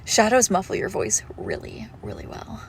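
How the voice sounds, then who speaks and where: A woman playing a possessive monstrous girlfriend in an intimate horror roleplay, condescending and darkly affectionate, with deliberate, drawn-out phrasing. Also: medium pitch, sing-song, creaky, a little hiss or hum. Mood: irritated. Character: possessive monstrous girlfriend with shadow powers, sweetly menacing. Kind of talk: intimate horror roleplay audio, slow and hushed.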